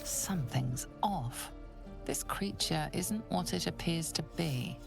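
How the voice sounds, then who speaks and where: Ominous game narrator: low female voice, deliberate delivery, creaky edge, music underneath. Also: expressive, heavily acted. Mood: hushed, measured. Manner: measured, deliberate. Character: hushed, ominous female storyteller. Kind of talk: dramatic scripted fantasy narration.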